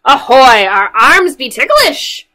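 A woman voicing a band of rowdy little pirate kids who shout and squeal with excitement. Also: sits high, takes it slowly, pitched above the performer's own voice, full character voice.

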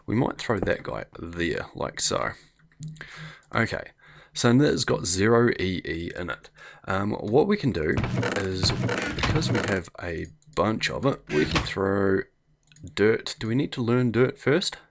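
A man with a New Zealand accent and a slightly croaky voice, chatting casually as he thinks aloud through a game. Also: fairly low pitch, very animated, a little slow, noisy background. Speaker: gameplay video host. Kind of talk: casual let's play commentary.

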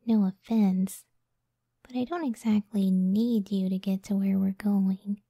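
Woman voicing a soft, mellow, half-whispering gentle giantess. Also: medium pitch, steady tone, dry recording, studio quality. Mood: gently teasing. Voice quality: soft, breathy, often near whisper. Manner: slow, tender, unhurried. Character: gentle, self-doubting giant cyclops wife, devoted and protective. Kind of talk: ASMR roleplay, soft intimate half-whispered speech.

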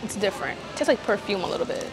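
A young woman talks straight into a camera held at arm's length, chatty and frank with quick asides. Her pitch sits in the middle for a woman. Music plays clearly underneath. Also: sing-song. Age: young adult. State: congested. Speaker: vlogger. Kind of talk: casual vlog chat to camera. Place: home, car, cafe. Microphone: handheld camera at arm's length.

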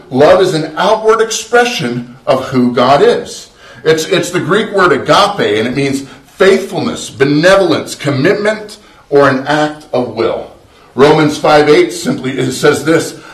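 A middle-aged man preaching a sermon as pastor of a small church, earnest and conversational, often restarting words. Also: mid-range voice. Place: small church sanctuary, indoors. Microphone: far camera, no visible mic. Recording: roomy echo from far off.

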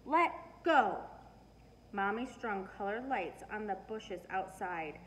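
A middle-aged woman reading a children's storybook aloud with expressive, emphatic storytelling. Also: mid-range voice, measured pace. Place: living room, indoors. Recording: noisy living room recording.

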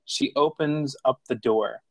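Male narrator for screenplay action lines, medium pitch, measured, plain delivery, dry close sound. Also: creaky, husky, no echo, rough recording. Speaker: stage directions reader. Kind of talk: scripted narration.

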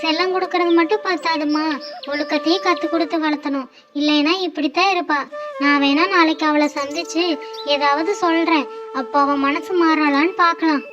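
A woman playing a caring, admonishing aunt in a children's moral story, speaking in a gently instructive way. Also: high-pitched, fairly even intonation, brisk, muffled recording, natural voice. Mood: concerned, advising. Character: caring, admonishing aunt, a married elder sister. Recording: music under the voice.

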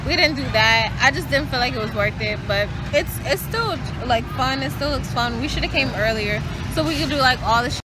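A young woman vlogging casually on her phone at a night go-kart track, chatty, slangy and quick to laugh. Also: high voice. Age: young adult. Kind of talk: casual vlog chat. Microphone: phone held at arm's length. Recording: loud crowd and engine noise outdoors.

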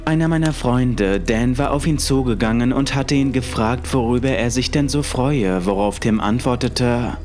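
A man's voice: medium pitch, moderate pace, hardly any pauses, a little mellow. A man narrating a scripted first-person horror story. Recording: music under the voice. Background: music.

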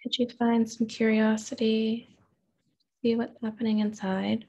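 Woman doing guided meditation, gentle and slow paced with pauses, raspy voice, very even pitch, bit muffled recording. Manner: gentle, unhurried, with pauses and open questions. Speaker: therapist leading a guided meditation.

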